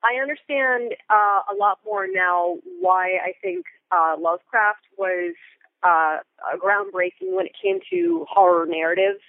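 A woman's voice: mid-range voice, very animated, a little slow, creaky, no echo. A middle-aged American woman speaking as an interview guest in articulate, wordy conversation over a phone-like connection. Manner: wordy, articulate phrasing with vivid examples. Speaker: interview guest, theater Foley artist. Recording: band-limited phone-like line.

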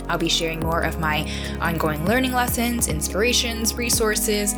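Woman podcast host, enthusiastic, music bed behind. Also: on the low side. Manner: enthusiastic, upbeat delivery. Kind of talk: scripted intro and interview questions.

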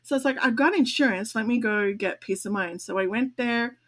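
A woman's voice: on the high side, fairly quick. An older woman, a grandmother and podcast co-host, telling a long personal story in casual chat, laughing often as she talks. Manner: chatty, laughs often while telling stories.

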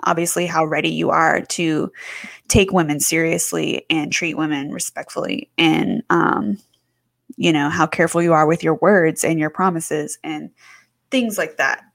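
A woman's voice: low voice, steady tone, creaky, no echo, studio quality. Chatty woman in her twenties. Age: 20s. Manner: chatty, lots of fillers, quick bursts. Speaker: podcast co-host. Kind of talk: casual chat.

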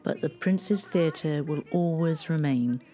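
A woman, reading a scripted local history narration in a measured way. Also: low voice, over music. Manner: measured, reads dates and figures.

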